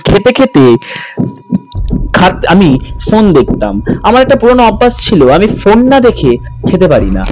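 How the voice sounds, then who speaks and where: A man's voice: sits high, huge pitch swings, normal speed, long pauses, darkish tone, poor audio. A man narrating in a dramatic, suspenseful ghost-story style as a channel host. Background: background music.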